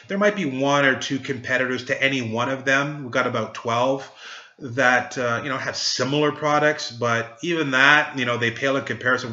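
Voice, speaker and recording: Jokey, upbeat recruiter man from Trinidad; bright tone, echoey lo-fi room. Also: mid-range voice. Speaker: network marketing recruiter. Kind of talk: casual sales pitch to camera.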